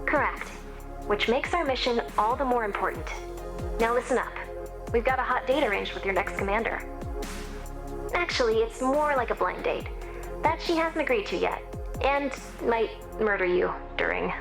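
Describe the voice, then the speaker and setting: A woman's voice: a little high, animated, moderate pace, music in the background. A woman playing a bossy, no-nonsense mission officer in a video game, with a sardonic, blunt and casual delivery. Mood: businesslike. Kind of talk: scripted video game dialogue.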